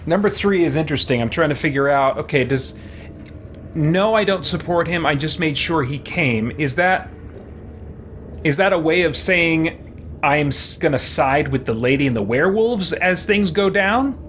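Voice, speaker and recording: A man giving casual live-stream game commentary, thinking out loud and reading chat messages. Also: fairly high pitch, expressive, moderate pace, a little hiss or hum. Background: game music.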